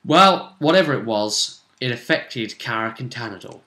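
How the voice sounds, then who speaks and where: Male game master, bright forceful voice, halting conversational storytelling, recorded in an echoey room. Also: medium pitch, expressive. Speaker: game master recapping a campaign session. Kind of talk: casual tabletop game storytelling.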